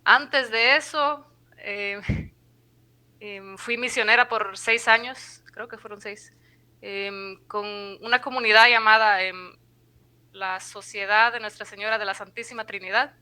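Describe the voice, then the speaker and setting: A woman's voice: fairly high pitch, fairly even intonation, a little slow, bright, forceful tone, smooth. A woman in her twenties from Guatemala, a podcast guest who talks hesitantly, with many fillers and restarts. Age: 20s. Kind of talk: conversational interview.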